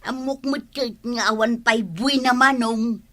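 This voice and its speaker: A woman's voice: a little high, measured pace, a bit bright. A woman playing a scheming, bossy village customer in a comedy drama, teasing in an Ilocano accent. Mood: casual.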